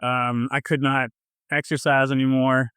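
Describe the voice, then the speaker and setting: A man with a Southern US accent telling his personal story in a casual interview, informal and a little halting in his phrasing. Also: mid-range voice, little pitch movement, fairly bright tone, smooth, dry recording, studio quality. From southern United States. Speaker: health coach, podcast guest.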